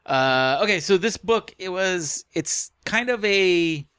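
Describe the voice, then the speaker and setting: A man's voice: high voice, lively intonation, slow pace, a bit bright, clear, resonant tone, no room sound, clean studio sound. A man co-hosting a casual book club podcast, speaking informally with a joking, crude sense of humour. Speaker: podcast co-host.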